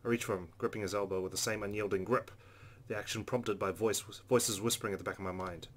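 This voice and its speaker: Low-voiced man reading a story aloud. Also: fairly even intonation, fast talker, slight background noise. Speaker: let's play host reading a visual novel aloud. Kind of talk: expressive read-aloud narration with casual asides.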